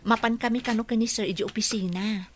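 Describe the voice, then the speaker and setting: A woman's voice: a little high, steady tone, fast, soft background music, close to an everyday voice. A woman playing a dutiful, respectful caregiver in a radio drama. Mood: modest, gentle. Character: dutiful, respectful female caregiver to her boss.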